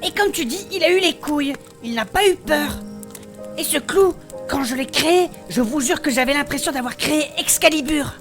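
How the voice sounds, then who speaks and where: A woman playing a spirited female blacksmith adventurer, recounting her past in animated, colloquial in a fantasy audio drama. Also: high voice, normal speed, soft background music, lightly acted. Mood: fond, proud. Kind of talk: French fantasy audio fiction acting.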